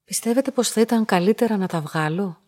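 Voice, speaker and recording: Actress as polite, introspective young woman, mid-pitched. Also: darkish tone, no echo, clean studio sound, natural voice. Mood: hesitant, sincere. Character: solitary young woman, polite, quietly devoted and resolute. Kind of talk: radio drama acting and first-person narration.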